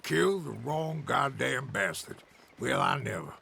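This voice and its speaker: An actor playing a gruff, sardonic American frontier bounty hunter with a gravelly voice and a terse, grumbling delivery. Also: male voice, mid-range voice, animated, measured pace, pushed and bright, a little hiss or hum, full character voice. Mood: sullen, irritated. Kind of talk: video game cutscene acting.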